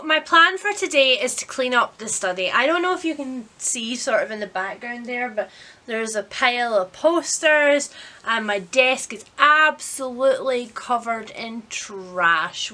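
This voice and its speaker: A woman with a fairly high, clearly bright voice talks to her vlog audience. She is chatty and expressive, and pokes fun at herself as she goes in a casual, homely style. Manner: chatty, self-deprecating, expressive. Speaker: vlog host. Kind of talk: casual vlog chat about a reading marathon. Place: indoors at home.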